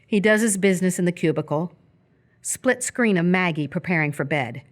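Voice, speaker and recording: A woman's voice: fairly low pitch, expressive, normal speed. A woman reading scripted stage directions as the narrator of a live radio theatre piece.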